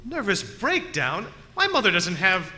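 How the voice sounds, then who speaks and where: An actor playing a desperate, haunted young son on the road in an old-time radio drama, intense and theatrical. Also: male voice, high-pitched, huge pitch swings, a little slow, bright, forceful tone, long reverb tail, exaggerated voice. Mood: shocked, frantic. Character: desperate haunted male traveler, son telling his eerie story.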